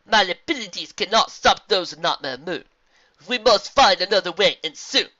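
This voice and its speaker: Wise zebra sorceress, acted by a woman, measured rhymed delivery, formal, calm, bright forceful tone, storybook character. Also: mid-range voice, no room sound, highs cut off, pitched above the performer's own voice. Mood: solemn. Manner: deliberate, formal rhymed phrasing.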